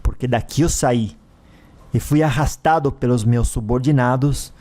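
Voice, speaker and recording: Man voicing a menacing, slow-spoken tyrant of the underworld. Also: mid-range voice, mellow, natural voice. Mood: stern, self-justifying. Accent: Brazilian. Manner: menacing, imperious, taunting. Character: cruel, mocking male ruler of a hellish spirit realm.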